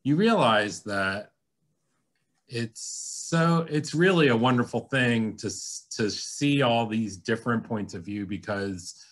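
A middle-aged man giving earnest, halting praise on a panel over a video call. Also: mid-range voice. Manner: earnest, animated hand gestures, halting. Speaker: panel moderator at a museum. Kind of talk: panel discussion comment.

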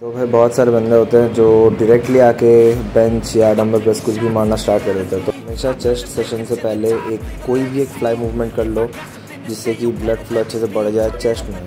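A man's voice: medium pitch, little pitch movement, fast. A young man explaining chest exercises in a casual gym tutorial, speaking straight to his viewers like friends. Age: young adult. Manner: casual, instructive, addresses viewers as brothers. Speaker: fitness vlogger. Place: small indoor gym. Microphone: camera on a stand a few steps away. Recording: echoey gym room with music.

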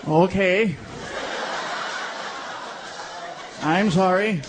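A man's voice: high-pitched, slow pace, pitched below the performer's own voice. A man voicing a hot-headed, indignant male friend in a stand-up comedy routine, blunt and slangy. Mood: sheepish. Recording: noisy live comedy recording with crowd.

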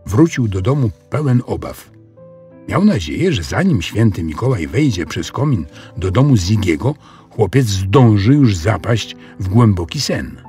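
A man's voice: fairly low pitch, darkish tone. A man narrating a scripted children's story as a storyteller. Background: music.

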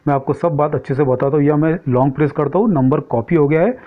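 A man's voice: on the high side, fast, narrow, tinny audio. A man giving a casual, explanatory tech tutorial, often addressing his viewers directly. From India. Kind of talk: casual screen-recording tutorial commentary.

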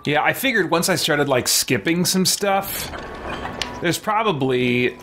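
A man's voice: fairly high pitch, animated, unhurried, sharp tone, slight background noise. A middle-aged man giving casual let's play commentary as a game streamer. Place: small room lined with shelves, indoors. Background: game music.

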